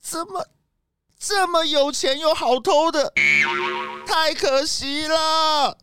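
Woman as a high-voiced, whiny, sly fox thief. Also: steady tone, slow, a bit bright, no room sound, clean studio sound. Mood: wailing regret. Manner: whiny, protesting, dramatic. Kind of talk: children's audio drama acting.

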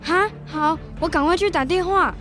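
A very high-pitched little Taiwanese boy. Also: normal speed, over music. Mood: startled, anxious. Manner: childlike, earnest. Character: earnest young grandson, devoted to grandpa. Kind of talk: radio drama acting.

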